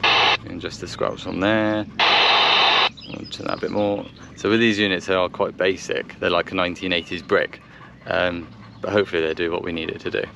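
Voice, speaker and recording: Male vlog presenter, low voice, casual and hesitant, faint vehicle noise behind him outdoors. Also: flat delivery, normal speed, vocal fry, lo-fi sound. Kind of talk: casual to-camera commentary and radio check chat. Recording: noisy outdoor vehicle background.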